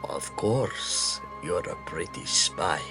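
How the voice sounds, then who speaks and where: A merciless wartime Nazi general played by a man in an audio drama. His voice is fairly low and he orates very slowly, with pompous menace. Music plays clearly behind him. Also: lively intonation, heavily acted. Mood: gloating. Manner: slow, pompous oratory. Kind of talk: fan audio drama acting.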